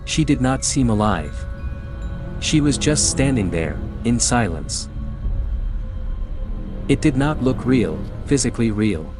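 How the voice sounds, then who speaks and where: Mexican guy reading a creepy story slow, average pitch, soft music behind. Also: sing-song. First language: Spanish. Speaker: narrator. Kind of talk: horror story narration. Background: faint music.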